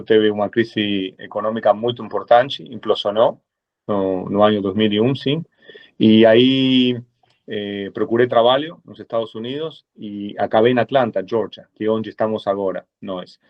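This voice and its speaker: A man with an Argentine Spanish accent presents himself as a lawyer in a webinar. His voice sits low and he pauses often on hesitant fillers. The sound is dull and lo-fi. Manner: measured, many hesitant "eh" fillers. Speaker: lawyer guest.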